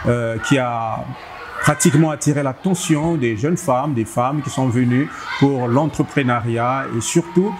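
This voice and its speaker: A man hosting a TV interview in a measured way, often adding short acknowledgements. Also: medium pitch. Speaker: interviewer host. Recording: steady background noise.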